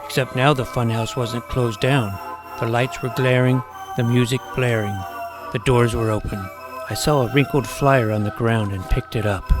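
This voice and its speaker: A man playing an earnest, self-important junior high superhero who narrates his own adventure with mock-dramatic delivery. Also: steady tone, moderate pace, music in the background, lightly acted. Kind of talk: scripted first-person story narration.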